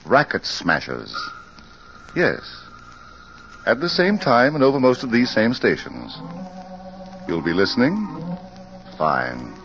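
An actor playing a courteous club librarian who serves as announcer of a 1940s radio mystery. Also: male voice, on the low side, wildly swooping pitch, slow pace, long pauses, a bit bright, faint music behind, exaggerated voice. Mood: courteous, apologetic. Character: courteous male club librarian, announcer taking phone calls. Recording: muffled old radio recording, faint noise.

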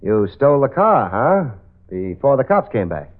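A man's voice: medium pitch, very animated, normal speed, no room sound, lightly acted. An actor playing a hard-boiled male private eye who narrates in clipped, wry lines, in 1940s radio acting style. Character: tough adult male private eye, wisecracking. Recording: tinny old radio broadcast with music.

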